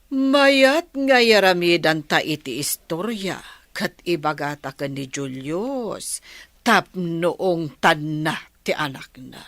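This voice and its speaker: A woman playing a sly, manipulative middle-aged stepmother in a radio soap drama, deliberate and insinuating. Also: on the low side, expressive, fairly bright tone, no room sound, exaggerated voice. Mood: sly, plotting.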